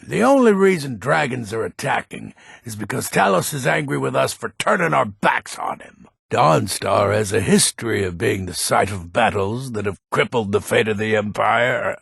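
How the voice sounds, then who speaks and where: A heavily gravelled, very hoarse-edged old lord from a fantasy game. He is proud and grumpy, blustering in slow, weighty phrases that swing widely in pitch. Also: lively intonation, a bit bright, no room sound, clean studio sound, full character voice. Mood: fervent. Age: older. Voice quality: gravelly, raspy. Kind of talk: video game character voice acting.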